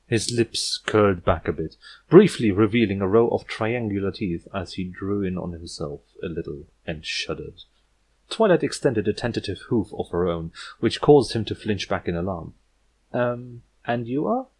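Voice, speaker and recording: A man's voice: low voice, moderate pace, a little mellow, husky. A man reading scripted fiction aloud as an audiobook narrator.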